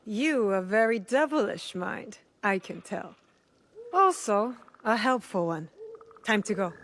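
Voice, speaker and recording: A blunt young shinobi. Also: female voice, medium pitch, lively intonation, measured pace, fairly bright tone, a little hiss or hum. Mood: dry, wary. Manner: blunt, terse, resolute. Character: determined, guarded young female shinobi warrior. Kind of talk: video game cutscene acting.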